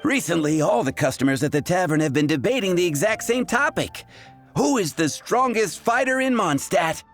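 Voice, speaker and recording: A man's voice: sits high, expressive, moderate pace, faint music behind. A man voicing a wry, chatty young tavern worker in a video game, wordy and theatrical. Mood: intrigued.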